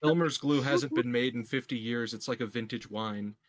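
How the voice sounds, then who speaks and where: A man's voice: medium pitch, normal speed, no room sound. A man playing a tabletop game, chatting casually and jokingly with the group.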